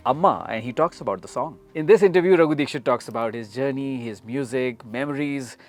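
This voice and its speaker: A man's voice: mid-range voice, a little fast, faint music behind. A man with an Indian accent, working as a radio host, introducing and conducting an interview. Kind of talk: scripted show introduction and interviewing.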